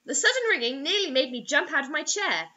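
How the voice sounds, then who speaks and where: Young woman, high bright voice, theatrical mocking read-aloud, webcam indoors. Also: fast talker, lo-fi sound. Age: young adult. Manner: expressive, theatrical. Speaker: host reading bad fiction aloud. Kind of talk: dramatic mocking story reading. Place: small indoor room.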